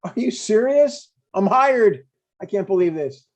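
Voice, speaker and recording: A man's voice: high-pitched, animated, a little slow, heavily acted. A man in his sixties playing an earnest, eager but stubborn dad in a conversational comedy table read. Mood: eager, excited.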